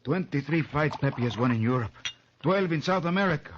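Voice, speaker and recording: An actor playing a fawning, crooked Argentine boxing second with a Spanish accent in a radio drama. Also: male voice, a little high, lively intonation, a little fast, close to an everyday voice. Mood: sly. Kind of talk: vintage radio drama acting. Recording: muffled old radio recording.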